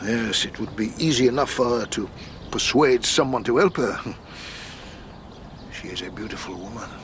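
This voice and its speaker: A businesslike middle-aged police inspector played by a man in a radio drama. His voice is medium in pitch, and he speaks in a measured, official and thoughtful manner. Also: a bit bright, slight background noise, natural voice.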